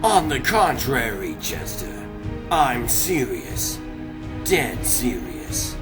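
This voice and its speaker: A man voicing a grandiose cat crime boss in a cartoon comic dub, pompous, deliberate and menacing. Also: medium pitch, very animated, over music. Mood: smug menace.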